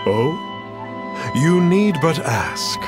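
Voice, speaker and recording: An actor playing a cryptic, grandiose immortal sage in a video game, speaking in a pompous, archaic manner. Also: male voice, a little high, very animated, very slow, full character voice. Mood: serene, grandiose. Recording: prominent game music under voice. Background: music.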